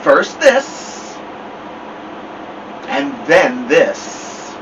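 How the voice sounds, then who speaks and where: Guy doing kids storytime, really expressive and unhurried, kinda far from the mic with noise behind him. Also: a little high. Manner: theatrical, with drawn-out words and dramatic pauses. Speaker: storytime host reading a children's book. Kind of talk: animated read-aloud of a children's picture book. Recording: distant mic, dull sound, noisy background hum.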